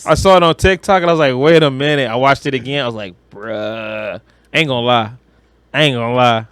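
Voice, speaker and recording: A man's voice: medium pitch, fairly quick, fairly bright tone, clean tone, dry recording. A man co-hosting a podcast in casual chat, talking bluntly in slang with some swearing. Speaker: podcast co-host and reviewer.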